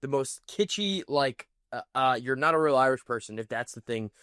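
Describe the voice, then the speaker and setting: A man's voice: medium pitch, lively intonation, normal speed, fairly bright tone, smooth, dry recording, clean studio sound. A man hosting a political reaction video, commenting in an indignant, mocking way. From United States. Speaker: commentary host.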